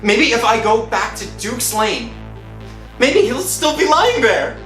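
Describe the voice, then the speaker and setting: A man playing a frantic, guilt-ridden working-class thief in an old-time radio thriller, agitated and halting as he confesses. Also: very high-pitched, sing-song, fairly bright tone, pitched above the performer's own voice, exaggerated voice. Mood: anxious, restless. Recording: roomy echo with music underneath.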